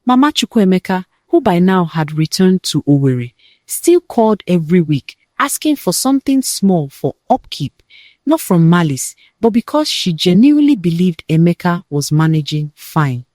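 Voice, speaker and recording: Low-voiced woman storyteller, dry close sound. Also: big pitch swings, moderate pace, darkish tone, dry recording. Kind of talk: scripted dramatic narration of a folktale-style family story.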